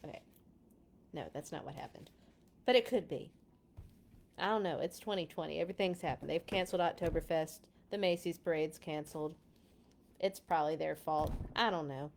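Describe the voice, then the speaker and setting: A woman's voice: low voice, moderate pace, gravelly, pauses often, a little hiss or hum. A woman hosting a children's read-along, reading expressively with dramatic emphasis on key words and a casual, chatty touch. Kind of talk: expressive storybook read-aloud with casual asides.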